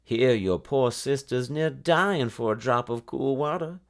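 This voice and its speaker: A man's voice: medium pitch, measured pace, pitched above the performer's own voice, close to an everyday voice. A man voicing a harsh, complaining country mother in a storybook reading. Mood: angry scolding.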